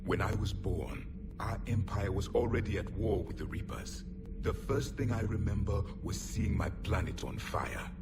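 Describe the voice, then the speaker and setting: An actor playing a proud, cold ancient alien soldier in a video game cutscene, speaking in a grave, half-whispered way. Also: male voice, fairly low pitch, expressive, normal speed. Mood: grim. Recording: distant voice under loud game music.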